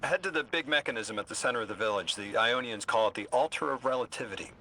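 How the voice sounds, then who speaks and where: An actor playing a courteous, bookish translator in a video game, speaking in an eager, formal and slightly hesitant way. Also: male voice, mid-range voice, quick pace, bright, forceful tone, a little hiss or hum, rough recording. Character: flustered, courteous, bookish male translator and emissary.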